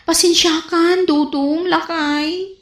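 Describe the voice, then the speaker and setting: A woman's voice: very high voice, fairly even intonation, slow pace. A woman playing a gullible, defensive wife who pleads in an Ilocano accent in a radio comedy drama. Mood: apologetic. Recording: roomy, echoing sound.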